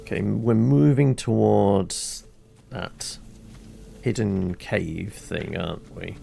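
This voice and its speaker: A man's voice: fairly low pitch, slow pace, creaky, warm tone, faint music behind. A man giving casual gameplay commentary as a video host, muttering hesitantly with a wry touch. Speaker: gaming video host and player.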